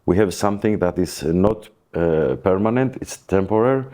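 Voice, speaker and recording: A man with a very deep, dark voice and a Greek accent. He speaks as an expert guest on a podcast. His explanations come in hesitant, broken phrases. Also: expressive, moderate pace. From Greece. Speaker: plastic surgeon, guest expert. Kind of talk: podcast interview, explanatory talk.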